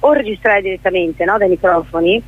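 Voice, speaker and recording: A woman's voice: mid-range voice, a little fast. A woman being interviewed on radio, explaining things spontaneously and often correcting herself as she goes. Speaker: theatre director, interview guest. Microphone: telephone. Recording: narrow, tinny telephone line.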